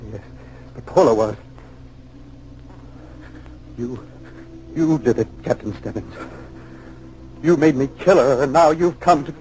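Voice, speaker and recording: An actor in a 1940s radio drama playing a guilt-ridden man cracking into terrified madness, halting and repeating himself. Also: mid-range voice, expressive, a little mellow. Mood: haunted, defiant. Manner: halting, repetitive, overwrought. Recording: noisy 1940s radio recording.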